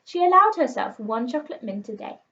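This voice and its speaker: A woman with a fairly high voice narrates a children's storybook expressively, in the manner of a teacher reading to pupils. The sound is slightly dull and lo-fi. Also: very animated, a little fast, bright, forceful tone.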